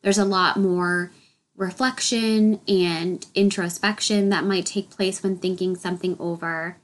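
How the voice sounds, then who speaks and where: A woman hosting her solo podcast, a little nasal and congested from a head cold, explaining a topic in an upbeat way. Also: on the low side. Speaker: podcast host.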